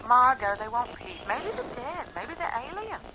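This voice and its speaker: High-voiced spacewoman on noisy radio. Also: big pitch swings, moderate pace. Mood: pleading. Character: Judy, gentle curious female spaceship radio operator. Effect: radio transmission filter. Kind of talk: radio drama acting. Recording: muffled, noisy radio transmission.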